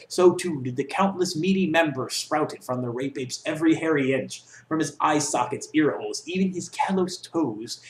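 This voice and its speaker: Young male story reader, theatrical and mock-serious, lightly high-pitched, recorded in a bare reverberant room. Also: fairly bright tone. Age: young adult. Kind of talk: reading a comic story aloud. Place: small dorm room with block walls, indoors.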